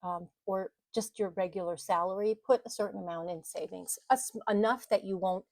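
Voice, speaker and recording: A woman's voice: medium pitch, a little fast, creaky, darkish tone, no echo. Woman, middle-aged, casual vlog chat, rambling, self-correcting, laughs a lot, dry close sound. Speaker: vlogger. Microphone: mic on the desk, bumped at times.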